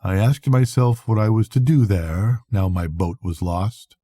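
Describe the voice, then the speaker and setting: Dark, husky older man reading a story. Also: fairly low pitch, no echo, clean studio sound. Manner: measured, reflective first-person narration. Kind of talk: expressive bedtime audiobook reading of a classic novel.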